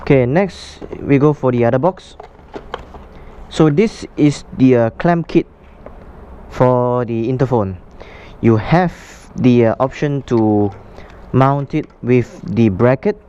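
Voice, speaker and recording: A man presenting a casual, unscripted product unboxing for a vlog, explaining things in a halting way. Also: medium pitch, dark tone, clear, resonant tone.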